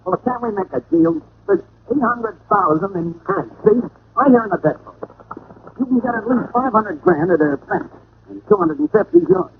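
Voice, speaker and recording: An actor playing a nervous, whiny small-time crook in an old radio detective drama, with a creaky, pleading voice. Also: male voice, very animated, moderate pace, exaggerated voice. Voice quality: creaky, high-pitched. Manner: pleading, jittery. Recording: noisy, narrow old radio recording.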